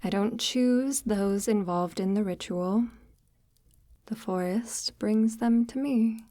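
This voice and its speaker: Woman as a slow, soft-whispering forest witch. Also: mid-range voice, dry recording, clean studio sound, natural voice. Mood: solemn, resigned. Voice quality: soft, breathy, half-whispered. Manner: formal, measured, deliberate, gently patronizing. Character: sinister forest witch, calmly menacing, devout, condescendingly tender. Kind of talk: ASMR audio roleplay, slow intimate monologue.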